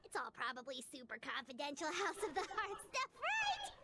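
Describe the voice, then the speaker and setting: A woman voicing a tiny, excitable female fairy companion in a video game, squeaky and nosy. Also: normal speed, vocal fry, very noisy, exaggerated voice. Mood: flustered. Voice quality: squeaky, refers to herself by name. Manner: bubbly, nosy. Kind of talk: game voice acting. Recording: roomy game audio over music.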